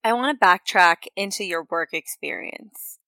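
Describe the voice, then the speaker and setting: Woman podcast host asking polite questions, lowish bright voice, dry sound. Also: no room sound. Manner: measured, polite, inviting. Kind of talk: interview questions.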